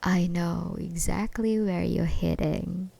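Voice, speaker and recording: Woman acting a slow, creaky, obsessed yandere ex-girlfriend. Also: on the low side, fairly even intonation, mellow, heavily acted. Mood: menacing, smug. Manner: mock-tender, taunting, breaking into whispers and laughs. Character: obsessed, menacing yandere ex-girlfriend stalking her lover. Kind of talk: ASMR roleplay acting.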